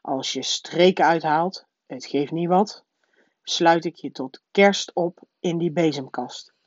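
A woman's voice: sits low, takes it slowly, no room sound, lo-fi sound. A woman voicing a big, stern middle-aged uncle in a storybook, with a menacing, firm manner.

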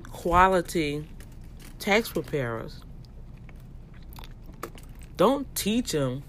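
Louisiana-accented host, unhurried, casual teaching-style monologue. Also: medium pitch, a little hiss or hum. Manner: talks slowly and deliberately on purpose, in a teaching tone. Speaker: podcast host and tax office manager.

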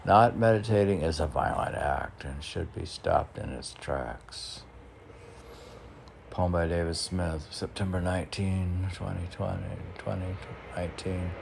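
A man's voice: low-pitched, a little slow, gravelly, a little mellow. A middle-aged man reading his own poetry, declaiming the verse and muttering off-the-cuff asides to himself. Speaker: poet. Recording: faint background noise.

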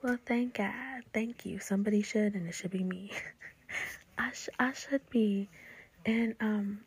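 A woman's voice: medium pitch, fairly even intonation, measured pace, slightly rough. Whispery young woman, blunt and profane. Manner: blunt, profane, rambling with many fillers. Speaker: podcast host. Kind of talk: casual solo podcast talk.

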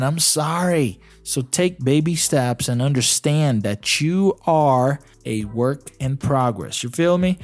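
A man from Brazil with a Brazilian Portuguese accent, hosting a solo podcast and coaching learners in a conversational way, with many "you know"s. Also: a little high. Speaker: podcast host and English teacher. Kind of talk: casual solo podcast talk.

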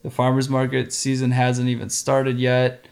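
A man's voice: mid-range voice, monotone, normal speed, gravelly, a little mellow. A man in his thirties, a guest chatting casually and adding short dry asides. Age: 30s. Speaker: podcast guest, bakery co-owner. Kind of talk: casual chat.

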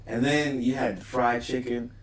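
A man's voice: medium pitch, fairly even intonation, unhurried, far from the mic. A man co-hosting a casual chat, joking and mock-indignant. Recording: echoey room with crowd noise behind.

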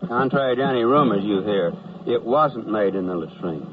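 A man's voice: lowish voice, animated, normal speed, natural voice. Dry wisecracking soldier, acted by a man. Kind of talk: 1970s radio comedy acting. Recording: muffled, noisy radio recording.

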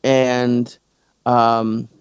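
A man hosting a casual podcast, talking in halting bursts with many restarts and fillers. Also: medium pitch, monotone, clean tone, dry recording. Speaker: podcast host and stand-up comic.

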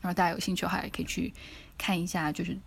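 A woman's voice: on the low side, little pitch movement, racing, creaky, husky. A woman co-hosting a podcast, talking casually about books. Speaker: podcast co-host. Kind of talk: casual book discussion.

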